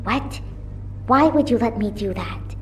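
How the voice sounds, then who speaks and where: Woman acting a stoic young medic, slow-spoken. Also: fairly high pitch, lively intonation, faint music behind. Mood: wary. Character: weary, defiant young female medic, grimly stoic. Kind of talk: comic dub voice acting.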